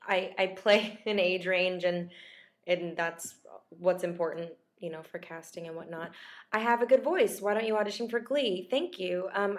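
A young woman who is losing her voice, chatting casually on a livestream and reading out viewers' questions in a self-deprecating way. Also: lowish voice. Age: young adult. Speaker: actress chatting with fans on a livestream. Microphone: laptop webcam at close range.

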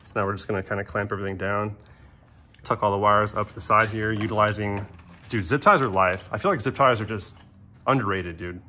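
A man's voice: fairly low pitch, brisk, pushed and bright. An American man hosting a casual how-to vlog with a friend, talking in slangy bro style as he works in a garage. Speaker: vlog host. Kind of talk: casual how-to chat with a friend while working. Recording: clear ambient noise behind handheld camera sound.

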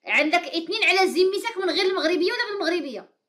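A woman in her thirties with a Moroccan Arabic accent, hosting a live call-in show from a small room, briskly repeating her caller's answers back. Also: very high voice, expressive, sharp tone. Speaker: host taking calls.

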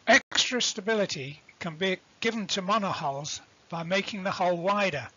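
A man giving an explanatory lecture as an instructor, deliberate and didactic, defining terms as he goes. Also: high-pitched, pushed and bright, breathy edge, muffled recording. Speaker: instructor presenting a sailing tutorial.

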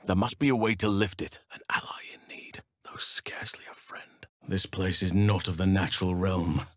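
An actor playing a gentle, solemn, protective elf druid in a fantasy video game, speaking in measured, earnest, formal phrases. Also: male voice, sits low, steady tone, no room sound, studio quality. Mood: grave.

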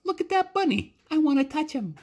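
A man's voice: extremely high pitch, very animated, fairly quick, darkish tone, pitched above the performer's own voice, heavily acted. A man voicing a curious, eager small child in a storybook reading. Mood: eager wonder.

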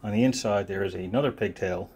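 A man giving a casual, unscripted product demo in an offhand, halting way, thinking aloud as he goes. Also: on the low side, darkish tone, slightly rough. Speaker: presenter of a gadget demonstration. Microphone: phone held near the hands filming.

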